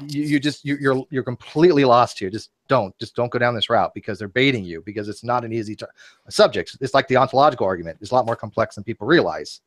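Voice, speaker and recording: A man's voice: mid-range voice, fast talker, no echo. A man in a casual philosophical discussion, explaining his points argumentatively as a guest debater.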